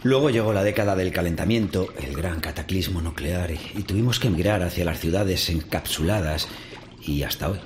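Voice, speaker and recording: An actor with a Castilian Spanish accent playing a world-weary middle-aged seaweed farm worker in conversational radio drama. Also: male voice, low-pitched, a little fast, lightly acted. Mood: explanatory. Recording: faint background noise and ambience.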